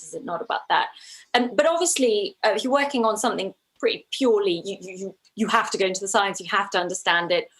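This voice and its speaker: A woman's voice: medium pitch, fairly bright tone, poor audio. A woman from Ukraine speaking as an interview guest, a documentary filmmaker who answers conversationally and thoughtfully, often restarting her phrases.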